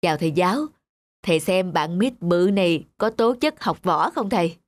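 Patient, coaxing cartoon dad. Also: male voice, high voice, lively intonation, normal speed, no room sound. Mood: coaxing, reasoning. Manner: teasing, coaxing, reasoning. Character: patient, wise father of a young boy. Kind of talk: cartoon voice acting. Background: music.

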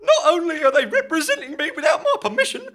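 A man voicing a shrill, indignant caricature reading out a journalist's notes in a theatrical live comedy sketch. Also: big pitch swings, fast talker, a bit bright, faint music behind, heavily acted. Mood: shrill indignation.

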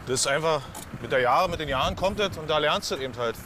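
A middle-aged man, a truck driver, talking in a brash, joking, hurried way while working outdoors at a port cargo yard. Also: on the high side, lively intonation, background noise. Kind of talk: casual talk at work.

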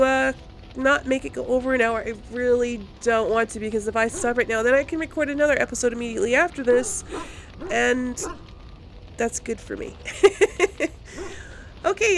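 A woman's voice: high-pitched, fairly bright tone, slight background noise. A woman giving casual, chatty gameplay commentary to her viewers, often thinking aloud. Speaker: host of a video game let's play series.

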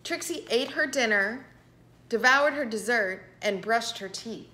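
A middle-aged woman reading a picture book aloud to children with expressive, dramatic emphasis. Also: a little high, measured pace. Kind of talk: animated children's storybook read-aloud. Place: indoor living room with piano.

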